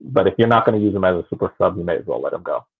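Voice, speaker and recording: A man's voice: deep voice, expressive, very fast, husky. A man giving casual sports analysis on a panel over a thin line, explaining points with emphatic repetition. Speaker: podcast panelist. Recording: thin phone-like band-limited line.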